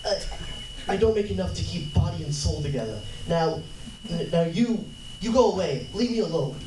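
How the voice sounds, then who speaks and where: A man's voice: on the high side, animated, moderate pace, mellow, close to an everyday voice. Plaintive radio beggar , male actor, meek and polite, echoey room with background noise. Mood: frightened pleading. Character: poor, meek blind street-singing beggar. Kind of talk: 1940s radio drama acting. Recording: echoey noisy convention room, distant mic.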